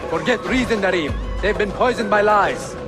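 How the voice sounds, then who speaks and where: A man's voice: high voice, moderate pace, over music, lightly acted. An actor playing a grave older master assassin and father in a game, terse and resolute. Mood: urgent, resolute. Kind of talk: dramatic game acting.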